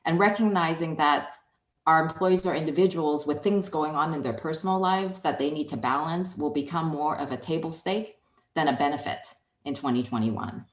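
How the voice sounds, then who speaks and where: A woman from Hong Kong whose first language is Chinese, answering interview questions in a measured, composed way. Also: low-pitched, roomy sound. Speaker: interview guest, HR executive.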